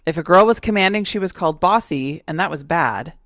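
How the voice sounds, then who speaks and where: A woman hosting a podcast, talking casually in a loud, outspoken voice. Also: lowish voice, expressive, brisk, no room sound. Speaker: podcast host. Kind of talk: casual conversation.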